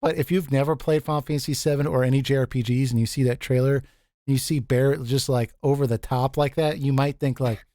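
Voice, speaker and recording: A man with a deep voice hosting a casual group chat, easygoing and quick to laugh, often restarting his sentences. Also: steady tone, mellow, no room sound, clean studio sound. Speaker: podcast host.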